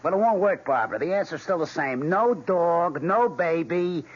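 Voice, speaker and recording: A domineering American husband in his forties in an old radio play. He is blunt and impatient, with a husky, fairly high voice that swings widely. The recording is dull. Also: lively intonation, moderate pace. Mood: irritated, scornful. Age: 40s. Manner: curt, dismissive, blunt. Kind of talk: vintage radio drama acting.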